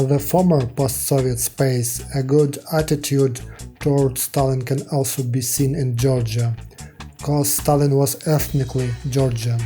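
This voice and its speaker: A man delivers scripted documentary narration in a flat, level tone with little rise and fall. His voice is dark and mellow, and he reads facts and figures in a measured, steady way. Faint music plays underneath. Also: mid-range voice.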